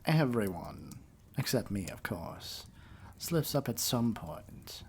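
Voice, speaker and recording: Evil king for ASMR roleplay, male actor: lowish, unhurried, haughty and menacing, with noise behind the voice. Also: very animated, exaggerated voice. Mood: silky menace. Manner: pompous, deliberate, dripping with disdain. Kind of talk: theatrical ASMR roleplay monologue.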